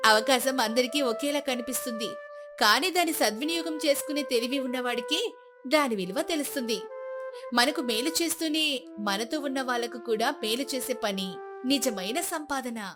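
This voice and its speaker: High-voiced female storyteller over music. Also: moderate pace. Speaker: narrator. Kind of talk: scripted moral-story narration.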